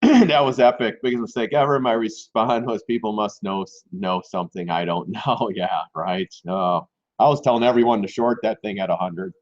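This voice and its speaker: Hoarse guy doing a stock trading livestream, keeps clearing his throat, kinda dull lo-fi audio. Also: on the low side, moderate pace. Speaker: stock trading live stream host. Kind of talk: casual live market commentary.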